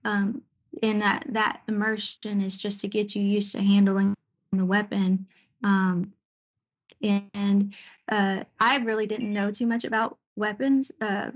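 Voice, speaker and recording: A woman giving an informal slide presentation about her service as a Navy air traffic controller, talking conversationally with searching pauses. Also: medium pitch, muffled recording. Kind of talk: informal slide presentation recounting deployment stories.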